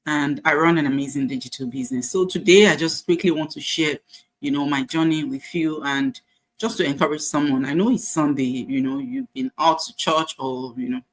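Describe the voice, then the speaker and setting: A woman's voice: low voice, normal speed, poor audio. A Nigerian woman in her forties sharing her life journey in a casual, faith-filled, encouraging way, with frequent fillers. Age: 40s. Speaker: nurse sharing her story. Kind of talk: casual personal testimony.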